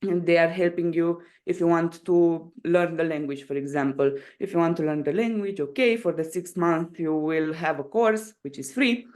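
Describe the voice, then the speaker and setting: A woman's voice: fairly low pitch, moderate pace, few pauses, a little mellow. A woman in her twenties speaks with a Romanian accent as a podcast guest. Her delivery is casual and conversational, with a hesitant way of building sentences as she looks for the right words in a second language. Her native language is Romanian. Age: 20s. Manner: hesitant, searching for words in non-native English. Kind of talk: casual interview answers.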